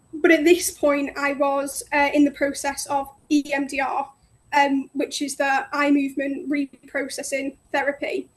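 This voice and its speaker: A woman in her twenties from North Wales, a friendly, bubbly guest chatting casually about her business over a phone call. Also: sits high. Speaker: guest, cleaning business owner. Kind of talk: casual interview chat.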